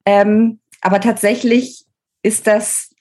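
A woman's voice: medium pitch, flat delivery, takes it slowly, darkish tone. A woman, an author explaining things conversationally as an interview guest.

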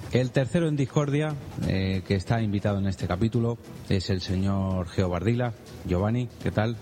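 A man's voice: fairly low pitch, normal speed, mellow. A man from hosting a casual podcast and introducing his companions. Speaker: podcast host. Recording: music behind the voice. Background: music.

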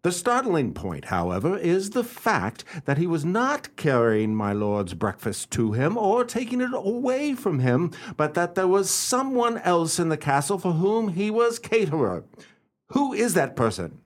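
An actor playing a self-assured, courteous male detective in a radio play, speaking formally and deliberately as he explains. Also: sits high, sing-song. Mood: confident, explanatory. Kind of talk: radio play acting and narration.

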